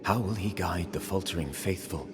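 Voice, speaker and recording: Deep, reverberant, solemn male storyteller by an actor. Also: normal speed, background noise, natural voice. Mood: solemn, foreboding. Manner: solemn, rhetorical, preacherly. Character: grave, moralizing male storyteller of a troubled settlement. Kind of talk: scripted dramatic narration. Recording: long reverb, music behind.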